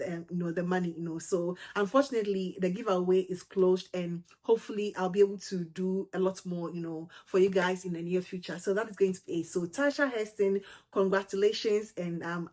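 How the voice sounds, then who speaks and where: A woman with a Ghanaian accent hosting her own fragrance channel, chatty and gushing, peppered with "you know" and "um". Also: mid-range voice. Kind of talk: casual fragrance review to camera.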